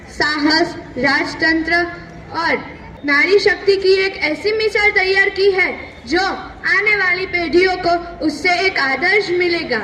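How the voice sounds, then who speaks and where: Woman acting a very high-voiced, proud warrior queen. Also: bright, forceful tone, distant mic, a little hiss or hum, heavily acted, poor audio. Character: proud, resolute widowed Maratha warrior queen recounting her life. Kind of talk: theatrical one-person stage monologue.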